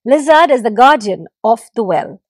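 A woman voicing a kind male creator god who comforts and commands the animals in a warm, reassuring way. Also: a little high, animated, moderate pace, slightly rough, no room sound, clean studio sound.

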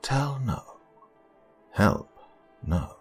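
A man's voice: mid-range voice, very animated, very slow, faint music behind, pitched above the performer's own voice. A man voicing a sinister voice inside someone's head, in a scratching whisper with broken, clipped commands for a horror story. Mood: insistent, commanding.

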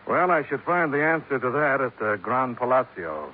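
An actor playing a cool, tough American investigator, clipped and sardonic, in 1950s radio detective style. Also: male voice, mid-range voice, big pitch swings, moderate pace, lightly acted. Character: cool, wry, tough male insurance investigator. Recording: noisy, narrow-band 1950s radio recording.

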